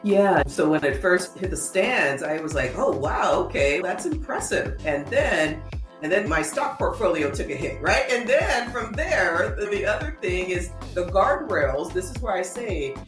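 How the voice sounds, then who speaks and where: A woman from San Diego whose first language is Spanish, co-hosting a casual tech chat over a video call, expressive and checking in with "right?". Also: on the low side, a little slow, reverberant, faint music behind. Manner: expressive, says "right?" often. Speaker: co-host, coach.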